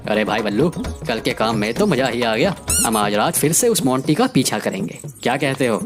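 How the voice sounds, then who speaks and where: A woman acts a greedy, excitable farm labourer in a village cartoon. His voice sits in a man's register and moves animatedly over background music. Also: a bass voice, normal speed, pitched below the performer's own voice. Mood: gleeful.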